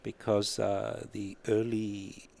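Thoughtful Australian-accented abbot, low voice. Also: male voice, little pitch movement, takes it slowly, vocal fry, dark tone. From born in Egypt, raised in Australia. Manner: thoughtful, hesitant with many fillers. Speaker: abbot of a monastery. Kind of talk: conversational interview. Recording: faint background noise.

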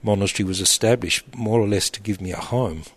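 A middle-aged man, an abbot speaking in an interview in a thoughtful, halting way with frequent restarts. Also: fairly low pitch. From Egypt, raised in Australia. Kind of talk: reflective interview answers.

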